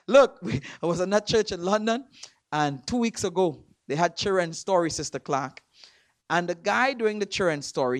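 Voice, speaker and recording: A man from Trinidad with a Trinidadian accent, preaching in a church. He stresses words hard, repeats himself and swings between loud and soft. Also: high voice, dry recording, studio quality. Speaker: guest preacher. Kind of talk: sermon. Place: church sanctuary.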